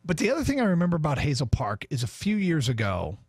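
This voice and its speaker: A man's voice: fairly high pitch, huge pitch swings, fast talker, darkish tone, breathy edge, dry recording. A man with an American accent hosting sports radio, talking through news and commentary on a studio microphone. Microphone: studio broadcast microphone.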